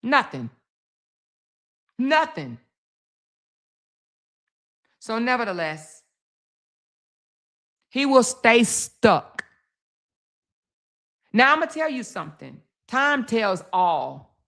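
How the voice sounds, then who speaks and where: A woman's voice: fairly high pitch, very animated, very slow, pauses often, fairly bright tone. A woman hosting her own commentary channel, talking bluntly and profanely, hammering points home by repeating them. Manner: blunt, profane, emphatic with repetition. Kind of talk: casual social media commentary.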